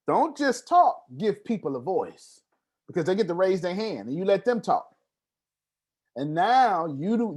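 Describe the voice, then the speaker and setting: Male motivational coach, higher-pitched and swooping, informal, emphatic delivery to an online group. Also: a little mellow. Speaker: host and business coach leading a group call. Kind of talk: animated motivational coaching talk. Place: online group video call.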